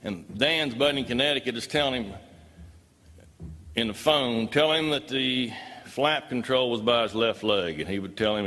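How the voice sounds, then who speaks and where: A man's voice: mid-range voice, bright, forceful tone. Folksy male storyteller in a reverberant hall. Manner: folksy, emphatic. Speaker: speaker recounting his own experience. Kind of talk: live storytelling talk to an audience.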